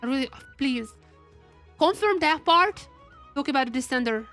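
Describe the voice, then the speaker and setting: A woman giving casual live commentary as she plays a game, thinking aloud in an excitable, exclamatory way. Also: high-pitched, moderate pace, soft background music. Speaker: streamer playing a game.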